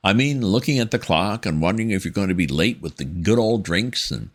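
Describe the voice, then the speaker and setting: A man voicing a dithering young upper-class English gentleman in a storybook reading, pitched well above his own voice and stammering. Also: lively intonation, fairly quick. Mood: content.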